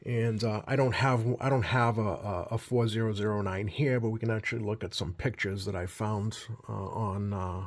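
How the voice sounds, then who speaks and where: Man giving a halting, rambling show-and-tell. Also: lowish voice, fairly even intonation. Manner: halting, rambling, self-correcting delivery. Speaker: host of a radio hobby video. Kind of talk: casual unscripted show-and-tell commentary.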